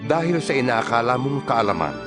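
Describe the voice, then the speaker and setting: A man's voice: mid-range voice, big pitch swings, a little fast, natural voice. A man playing an earnest apostle in a dramatized audio Bible, speaking in an emphatic, oratorical, rhetorical way. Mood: earnest, admonishing. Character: impassioned male apostle preaching and defending himself. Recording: music under the voice. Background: background music.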